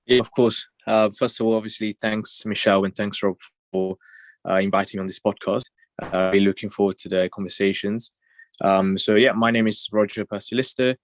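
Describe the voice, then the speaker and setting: Low flat-voiced young man raised in Italy. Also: a little fast, no room sound. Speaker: guest. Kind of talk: podcast interview.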